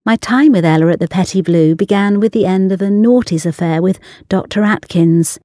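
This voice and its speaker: A woman reading a literary short story aloud in a languorous, deliberate manner. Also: medium pitch, warm tone, dry recording, studio quality. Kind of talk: scripted literary narration.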